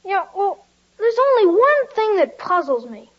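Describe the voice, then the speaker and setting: A very high, piping voice a scrappy little street kid. He talks in rough, hesitant grammar, wary but earnest, on a dull vintage radio recording. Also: big pitch swings, a little slow, heavily acted. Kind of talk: 1940s radio acting.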